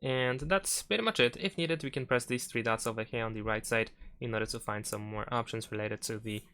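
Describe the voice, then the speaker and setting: Clear-voiced man giving a tutorial. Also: medium pitch, fairly quick, bright, forceful tone. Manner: instructional, unscripted step-by-step delivery. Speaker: tutorial host. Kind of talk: step-by-step spoken phone tutorial.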